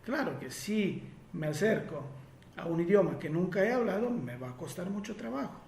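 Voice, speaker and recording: A man's voice: sits high, expressive, vocal fry, darkish tone, a little hiss or hum. Italian-accented man in an echoey room. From Italy. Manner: reflective, pauses often with fillers and restarts. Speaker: podcast host answering listener questions. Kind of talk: casual spoken answer to listener questions.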